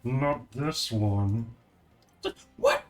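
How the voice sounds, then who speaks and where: Male livestreamer, casual chat with viewers, giggly, laughs a lot, middle pitch. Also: wildly swooping pitch, drawn-out words. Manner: chatty and giggly, with frequent laughs and restarts.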